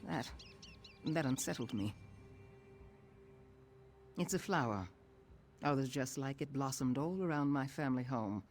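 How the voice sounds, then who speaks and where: An actor playing a proud, sardonic exiled noble turned veteran thief in a video game, speaking in a dry, formal, haughty manner. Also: male voice, mid-range voice, animated, measured pace, long pauses, a little hiss or hum, close to an everyday voice. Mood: uneasy, guarded.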